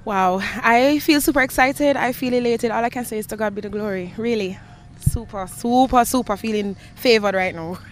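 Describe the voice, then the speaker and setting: A woman's voice: a little high, normal speed. A young woman with a Jamaican accent giving earnest, reflective answers in an interview, as a gospel singer. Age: young adult. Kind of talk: interview answers, personal testimony. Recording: noisy location with ambient sound.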